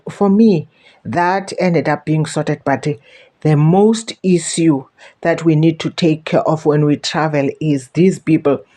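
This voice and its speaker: A woman's voice: deep voice, takes it slowly, mellow. A South African woman talks to her viewers about her travels. She is chatty and stumbles over repeated words. She keeps stopping to warn them. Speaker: vlogger. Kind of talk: casual storytelling to camera.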